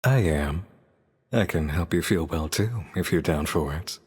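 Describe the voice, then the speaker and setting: A man's voice: sits low, unhurried, darkish tone, husky, exaggerated voice. A man playing a sly, playful demon detective in a visual novel, teasing and theatrical as he condescends to humans. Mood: teasing, flirtatious. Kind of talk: scripted visual novel voice acting.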